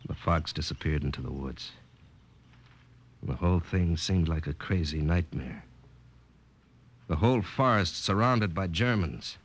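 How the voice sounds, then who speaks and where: An actor playing a wary, hard-boiled American army captain in a 1950 radio drama, colloquial, with an American accent. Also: male voice, low voice. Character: plain-spoken, wary American army captain on a secret mission. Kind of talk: 1950 radio drama acting with first-person narration. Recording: noisy old 1950s radio broadcast.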